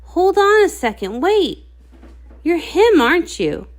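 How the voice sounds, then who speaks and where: A woman voicing a small, clumsy, eager young male unicorn in a storybook, excitable and earnest. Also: extremely high pitch, slow, voice pushed up, full character voice. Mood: excited awe.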